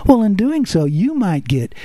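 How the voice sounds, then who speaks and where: A man hosting a radio show with a soft radio voice, animated and teasing as he talks straight to an absent listener. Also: high-pitched, unhurried, dry recording. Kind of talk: playful radio patter.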